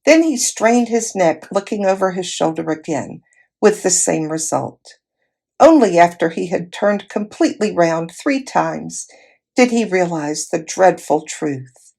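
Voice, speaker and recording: A woman reads a children's storybook aloud in an expressive narrating style. Her pitch moves around in a very lively way while the pace stays slow, and there is a gravelly creak in her voice. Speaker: volunteer storybook reader.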